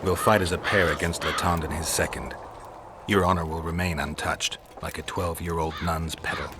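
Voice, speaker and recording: A man playing a stoic, wry mercenary monster hunter in a fantasy video game, terse and deadpan. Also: low voice, moderate pace, slight background noise. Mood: dry, wry. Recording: music behind the voice.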